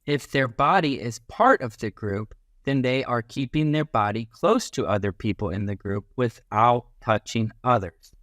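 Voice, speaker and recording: A man reading a children's book aloud as a teacher, in an expressive, instructive way that leans on key phrases. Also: mid-range voice, normal speed, few pauses, no room sound, clean studio sound.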